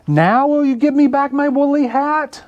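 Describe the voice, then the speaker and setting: A man's voice: very high-pitched, moderate pace, highs cut off, pitched above the performer's own voice. A man voicing an earnest young bear who pleads emphatically in a children's storybook. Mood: pleading, insistent. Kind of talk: storybook character read aloud for children.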